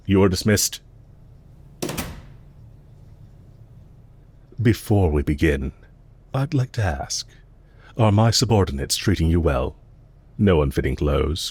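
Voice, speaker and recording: A man playing a courteous, calm villain leader in an audio roleplay, speaking in a polite, measured, formal and softly commanding way. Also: extremely low pitch, slightly rough, full character voice. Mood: politely firm. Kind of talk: scripted roleplay audio drama.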